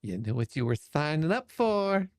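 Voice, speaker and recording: A woman's voice: low-pitched, big pitch swings, moderate pace, a little mellow, no room sound, studio quality. A woman co-reader giving short, casual interjections during a story reading.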